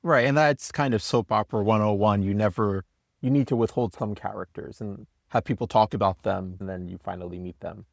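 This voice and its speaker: Earnest low-voiced man co-writer. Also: steady tone, fairly quick, creaky, no room sound, clean studio sound. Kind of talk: casual chat.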